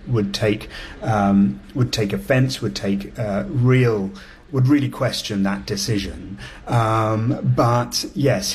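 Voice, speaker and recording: Low-voiced male foreign news correspondent. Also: darkish tone. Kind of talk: live explanatory news report.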